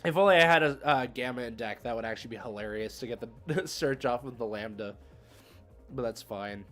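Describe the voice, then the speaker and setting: A man's voice: medium pitch, a little fast, fairly bright tone. A man streaming an online card game, thinking aloud in casual, chatty play-by-play with slangy asides. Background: music playing behind the voice.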